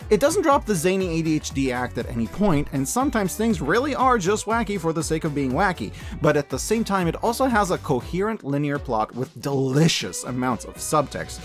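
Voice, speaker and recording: A high-voiced man delivers scripted review commentary with lots of swooping intonation. He is emphatic and given to playful exaggeration and jokey asides. Light music plays behind him. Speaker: host reviewing animated shows and media. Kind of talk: scripted video-essay commentary. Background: background music.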